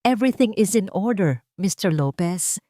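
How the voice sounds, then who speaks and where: A woman's voice: medium pitch, lively intonation, normal speed, mellow, dry recording, natural voice. A woman narrator plays a formal male attorney in a romance audio drama. He is businesslike and matter-of-fact, with a dry, close sound.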